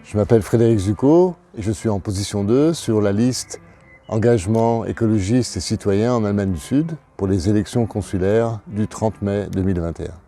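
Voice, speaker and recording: A man of sixty-two from Paris, presenting himself as an election candidate in an interview, speaking in a halting, deliberate way. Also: on the low side, mellow, soft background music. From Paris, France. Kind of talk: interview answers, self-presentation.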